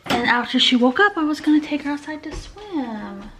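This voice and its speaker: A woman with a slightly high voice chats casually to her vlog audience. She rambles in a conversational way, drifting into asides. Faint background noise sits behind her voice. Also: big pitch swings, normal speed. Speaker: family vlogger and mother.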